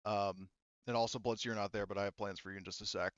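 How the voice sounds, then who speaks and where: Smooth-voiced man running a tabletop game, haltingly. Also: on the low side, fairly even intonation, fairly bright tone, no echo, lo-fi sound. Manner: halting, rambling, self-correcting. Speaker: game master. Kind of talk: casual narration of a tabletop roleplaying game.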